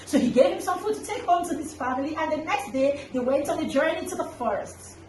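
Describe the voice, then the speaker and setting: A woman hosting a children's story time, telling an animal tale with dramatic, deliberate emphasis. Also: high-pitched, expressive, darkish tone. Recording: echoey room, distant mic, faint noise.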